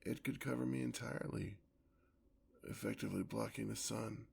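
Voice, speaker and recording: A man playing a courtly, hungry vampire lover in an ASMR fantasy roleplay, with formal, theatrical speech and a gravelly voice. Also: fairly low pitch, steady tone, darkish tone, exaggerated voice. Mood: thoughtful. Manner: theatrical, formal, drawn-out endearments. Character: courtly, hungry male vampire, tender yet predatory lover.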